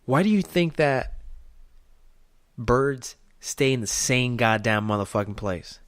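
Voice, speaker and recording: A man's voice: mid-range voice, animated, slow, smooth, dry recording, clean studio sound. A man whose first language is Spanish, hosting a podcast and giving a blunt, profane motivational talk full of rhetorical questions. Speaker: podcast host. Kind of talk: motivational monologue.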